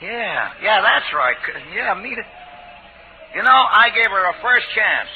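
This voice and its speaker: An actor playing a cruel, mocking, impatient gangster boss who barks orders in tough street slang, in 1940s radio drama style. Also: male voice, high voice, big pitch swings, unhurried. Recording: scratchy narrow-band 1940s radio recording.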